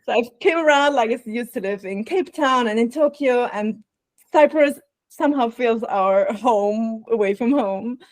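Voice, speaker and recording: A woman speaking with an Austrian accent, her voice sitting a bit high. She talks reflectively as a podcast guest. The sound is dry and close, with no room around it. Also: normal speed, no room sound, low-quality recording. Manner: warm, reflective, laughs often. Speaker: guest, burnout prevention coach. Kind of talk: podcast interview.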